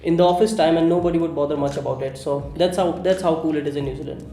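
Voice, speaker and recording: A man from India, a software engineer, talking casually as an interview guest, often pausing with "uh" as he recalls details. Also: a little high, reverberant, faint music behind. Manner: relaxed, many "uh" pauses while recalling.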